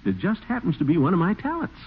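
An actor with an American accent playing a pompous, gullible middle-aged official in a vintage radio comedy, blustering and self-important. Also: male voice, sits high, huge pitch swings, quick pace, full character voice. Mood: self-satisfied. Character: pompous, gullible middle-aged water commissioner, easily flustered. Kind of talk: 1940s-50s American radio comedy acting. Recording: tinny, hissy old radio recording.

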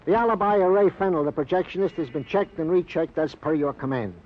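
An actor playing an earnest, sorrowful, long-winded police sergeant with pompously formal wording in a radio drama. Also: male voice, fairly high pitch, quick pace, natural voice. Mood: formal, mournful. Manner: wordy, pompously formal, plaintive. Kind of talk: 1950s radio drama acting. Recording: muffled old radio recording.

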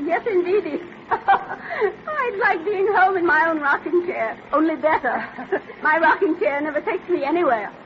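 A spirited pioneer granny in her eighties in a vintage radio play. Her voice is very high and her phrasing folksy and rustic. The old recording is muffled, with faint noise. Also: animated, measured pace. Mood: merry. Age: 80s. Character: spirited, chuckling 88-year-old pioneer woman. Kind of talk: 1940s radio acting.

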